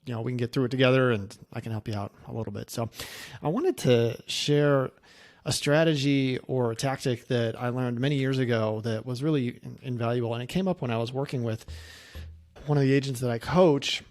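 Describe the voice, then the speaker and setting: A man's voice: medium pitch, a little fast, a little mellow. A man speaking casually as a coach, sharing anecdotes and practical advice in a conversational way. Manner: conversational, anecdotal, acting out quoted remarks. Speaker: insurance agency coach and presenter. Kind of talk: casual coaching talk to camera.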